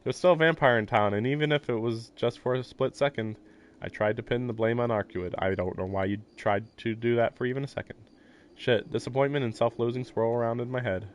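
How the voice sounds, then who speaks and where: A man's voice: mid-range voice, brisk. A man streaming a visual novel, reading its text aloud and reacting in a rambling, casual way, with swearing and short laughs. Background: game music.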